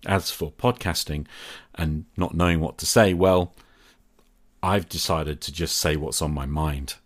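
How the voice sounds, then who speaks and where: A man hosting a podcast, speaking reflectively in deliberate short phrases and posing rhetorical questions. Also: rumbling low. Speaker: podcast host. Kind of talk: reflective solo podcast monologue.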